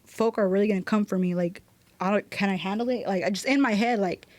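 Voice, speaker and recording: A woman's voice: mid-range voice, quick pace, darkish tone, no echo. A young woman tattoo artist talking casually as a podcast guest, slangy and profane as she tells personal stories. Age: young adult.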